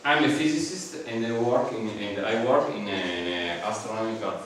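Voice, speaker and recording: Lecturer, male, Italian accent, low voice, halting delivery, heard from a distance. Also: a bit bright, a little hiss or hum. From Italy. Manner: halting, many fillers and restarts. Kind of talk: guest seminar lecture in English. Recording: echoey hall from far off.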